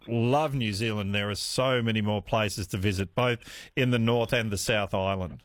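A man hosting a talkback radio quiz, chatty and quick to laugh. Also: on the low side. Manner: animated, chatty, laughing easily. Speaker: radio host.

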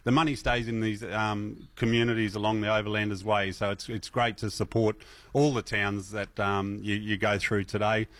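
A middle-aged Australian man with a fairly low voice, talking casually as a radio guest. He rambles easily, with plenty of ums and restarts. The sound is close and dry. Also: normal speed, dry recording. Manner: relaxed and chatty, many fillers and restarts, laughs easily. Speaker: festival MC, interview guest. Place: radio interview.

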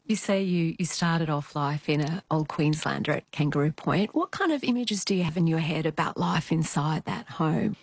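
A woman's voice: deep voice, lively intonation, normal speed, few pauses, dry recording. A woman, asking questions as an interviewer.

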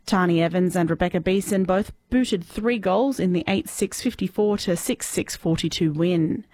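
An Australian woman with a loud voice, reading a radio news bulletin and then chatting cheerfully in a studio. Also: lowish voice, normal speed. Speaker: newsreader. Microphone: studio microphone.

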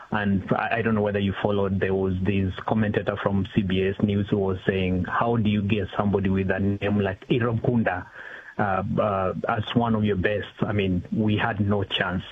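A middle-aged man giving spontaneous interview answers, haltingly, repeating words as he thinks. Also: fairly low pitch, slightly rough. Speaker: interview guest, community executive officer. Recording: tinny phone line with hiss.

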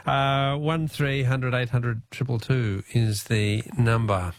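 A man's voice: medium pitch, steady tone, slow, slight background noise. A man with an Australian accent hosting talkback radio, chatty, often restarting his sentences.

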